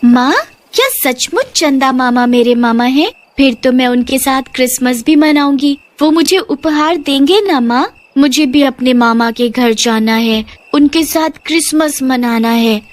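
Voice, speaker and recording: A woman's voice: high-pitched, unhurried. A woman voicing an eager, wistful little girl in a children's story, earnest and pleading. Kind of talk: children's moral story voice acting.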